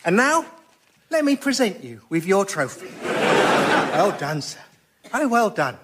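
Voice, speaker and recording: A man's voice: sits high, sing-song, measured pace, very noisy. An actor playing a chummy key cutter in a radio comedy sketch, deferential and coaxing as he congratulates his customer. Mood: coaxing, congratulatory.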